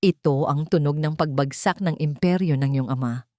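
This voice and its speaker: A woman's voice: deep voice, normal speed, a little mellow, no echo, studio quality, lightly acted. A woman voicing a cold, calculating male crime boss in an audio drama, menacing and contemptuous. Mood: gloating menace.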